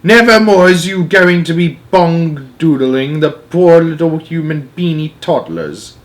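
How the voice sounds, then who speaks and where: A man reading aloud and voicing a huge, kindly old male giant who gets excited, mangles his grammar and uses made-up words. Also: medium pitch, moderate pace, lightly acted. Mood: fierce. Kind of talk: storybook read-aloud.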